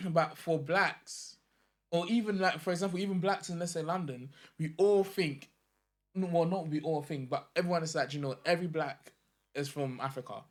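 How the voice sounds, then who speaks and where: A man's voice: a little high, steady tone, normal speed. Thoughtful young man reasoning with examples. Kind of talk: casual podcast chat.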